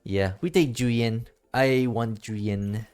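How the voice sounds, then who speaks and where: A man streaming a game with casual commentary, thinking aloud in a halting, rambling way and repeating his phrases, with game music behind him. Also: medium pitch, steady tone, a little mellow, smooth.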